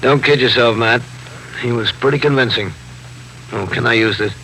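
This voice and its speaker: An actor playing an authoritative, unimpressed police captain in a 1950s radio drama, asking terse, matter-of-fact questions. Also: male voice, mid-range voice, normal speed, gravelly, lightly acted. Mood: businesslike. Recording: noisy 1950s radio broadcast recording with hum.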